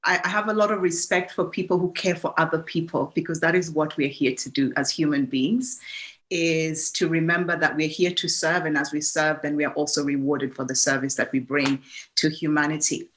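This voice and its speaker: A woman's voice: low-pitched, fairly even intonation, fairly quick. A woman from Kenya, giving a reflective personal talk as a guest speaker, often restarting her sentences. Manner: warm, reflective, with frequent restarts and fillers.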